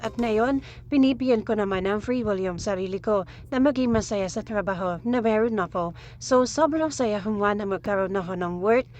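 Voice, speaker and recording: A woman in her twenties with a Filipino accent, narrating a casual vlog in a chatty way and mixing in English phrases. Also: medium pitch. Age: 20s. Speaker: vlogger, ESL teacher working from home.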